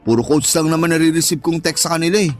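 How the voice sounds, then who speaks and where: A man's voice: on the high side, fast, close to an everyday voice. A man with a Filipino accent acting a cheeky, eager guy in his early twenties, playful and colloquial, in a radio drama. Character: cheeky lovelorn 22-year-old guy, eager younger friend. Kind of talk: Tagalog radio drama dialogue.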